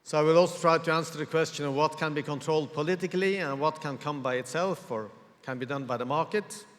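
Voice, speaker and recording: A man with a voice pitched a little high for a man speaks formally to a conference audience, measured and pausing often as he searches for words. His voice rings through a large hall with a long, trailing reverb. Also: a bit bright. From Norway.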